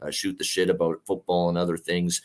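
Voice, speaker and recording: A man hosts casual sports talk in a lowish voice. It is gravelly and worn from a night of singing, and he rambles along with plenty of filler pauses. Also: level tone. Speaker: host of a sports betting show.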